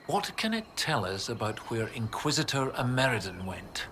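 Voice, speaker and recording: An actor voicing a bookish, excitable male historian in a video game, speaking in precise, scholarly phrases. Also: mid-range voice, moderate pace, slight background noise. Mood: delighted. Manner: scholarly, animated, precise wording. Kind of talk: video game dialogue acting.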